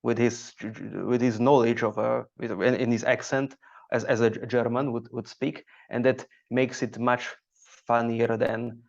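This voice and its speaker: A man from Slovakia with a Slovak accent, speaking English as a second language, chatting haltingly as a guest comedian on a podcast. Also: medium pitch, flat delivery, creaky. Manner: halting, searching for words. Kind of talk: casual podcast conversation. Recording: dull lo-fi audio.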